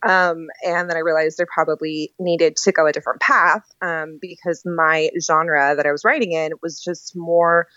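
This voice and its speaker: Woman with level pitch, dull audio. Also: flat delivery, normal speed, few pauses, pushed and bright, dry recording. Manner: chatty, informal. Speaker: guest author. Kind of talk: casual interview conversation.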